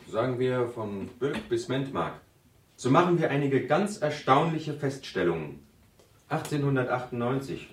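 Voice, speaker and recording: A man's voice: mid-range voice, lightly acted. An actor playing a zealous, argumentative male university student who lectures emphatically in a radio drama seminar. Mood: lecturing, assured. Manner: lecturing, emphatic, with theatrical build-ups. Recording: roomy, slightly distant studio acoustic.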